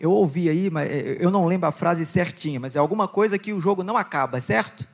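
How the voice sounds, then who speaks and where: A young man with a Goiás accent preaching to a youth conference, joking with the crowd, reading scripture and praying in a quieter, lower voice. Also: fast. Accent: Goiás Brazilian Portuguese. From Goiás, Brazil. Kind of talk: sermon with Bible reading and prayer.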